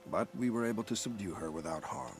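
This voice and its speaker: An actor playing a slippery, falsely polite military villain in a video game, smooth and condescending. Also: male voice, fairly low pitch, expressive, fast. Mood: smug, dismissive.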